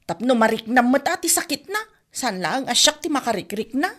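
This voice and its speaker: A woman's voice: high-pitched, sing-song, fairly quick, fairly bright tone, exaggerated voice. A woman with an Ilocano accent playing a dramatic, complaining wife and new mother in a comedy radio drama. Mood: subdued, sulky.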